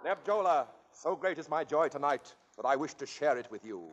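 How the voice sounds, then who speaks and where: An actor playing a pompous, menacing desert sheik, declaiming grandly in a 1940s radio comedy. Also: male voice, fairly high pitch, animated, brisk, muffled recording, natural voice. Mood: grandly genial. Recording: noisy old radio recording with clicks.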